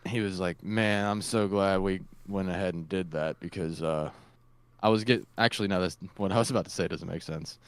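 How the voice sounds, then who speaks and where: Low smooth-voiced man on a podcast. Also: brisk, dry recording. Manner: easygoing, self-correcting rambles. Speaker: podcast co-host. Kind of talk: casual comedy podcast chat.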